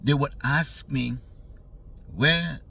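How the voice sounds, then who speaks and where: Bright-voiced Trinidadian man telling a comic story. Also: mid-range voice, gravelly, husky. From Trinidad and Tobago. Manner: deadpan, slowing down for punchlines.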